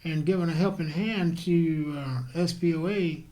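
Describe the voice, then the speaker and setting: A soft-spoken man interviewing a guest in casual conversation, often pausing and restarting. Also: a little high, a little hiss or hum. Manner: soft-spoken, halting, frequent affirmations.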